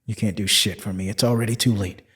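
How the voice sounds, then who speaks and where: A man's voice: fairly low pitch, fairly even intonation, quick pace, a bit bright, natural voice. An actor playing a guilty, desperate man in an audio drama, blunt and disbelieving, with a pleading edge. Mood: bitter, skeptical. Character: desperate guilty man, skeptical, rough-spoken, cornered.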